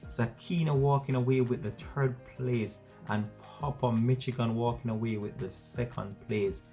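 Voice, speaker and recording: A man hosting an entertainment news show, wrapping up the story in a steady, repetitive presenter delivery. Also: medium pitch, normal speed, gravelly, soft background music. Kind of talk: scripted news wrap-up.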